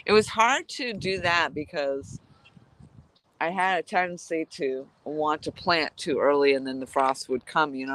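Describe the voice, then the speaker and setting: A woman's voice: low-pitched, fairly bright tone. Chatty nasal woman vlogging outdoors. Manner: chatty, rambling, laughs easily. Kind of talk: casual chat while fishing. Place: outdoors at a lakeside camp.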